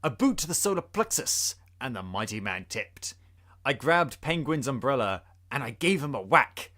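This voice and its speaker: Male narrator for rhyming tale: theatrical, suspenseful, forceful, lively range. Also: mid-range voice, normal speed. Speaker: podcast host. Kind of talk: dramatic storybook reading of rhyming verse.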